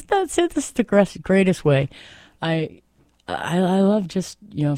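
A man's voice: a little high, creaky, dark tone, dry recording, clean studio sound. A man hosting in a reflective, casual way, talking and reading poetry aloud, repeating phrases for emphasis. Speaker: host reading poems aloud.

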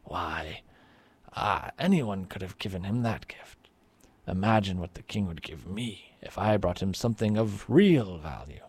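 A man's voice: low-pitched, animated, measured pace, a little mellow, husky, no echo, heavily acted. A man voicing a jealous, greedy rich merchant in a told folk tale, muttering scheming asides to himself.

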